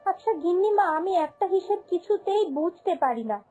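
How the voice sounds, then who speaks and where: A woman's voice: very high voice, animated, fairly quick, narrow, tinny audio. A woman voicing a humble, honest young female shop servant in a cartoon, speaking earnestly and plaintively. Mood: curious, deferential. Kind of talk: cartoon fairy-tale voice acting.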